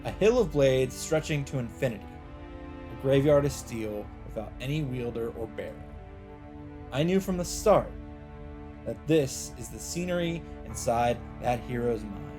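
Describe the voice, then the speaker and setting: A man reading a visual novel script aloud in an expressive narrating style. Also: mid-range voice, moderate pace. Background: game music.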